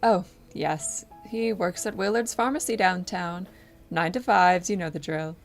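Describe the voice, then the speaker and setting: A woman's voice: on the low side, measured pace, gravelly, faint music behind. A woman playing a proper, hesitant 1950s housewife, eager to please, in an audio drama. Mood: chatty, awkward.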